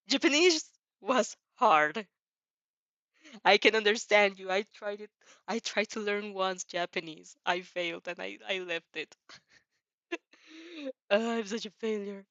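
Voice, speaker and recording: A woman's voice: mid-range voice, fairly bright tone, no echo, lo-fi sound. A woman reading fan fiction as a storyteller with casual reactions, giggly and often stumbling over and rereading phrases.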